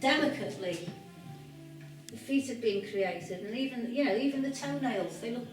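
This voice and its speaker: A woman's voice: a little high, moderate pace, over music. A woman giving an informal, explanatory guided tour of a house, speaking with some hesitation. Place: large room inside an old house. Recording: echoey room, distant mic, background noise.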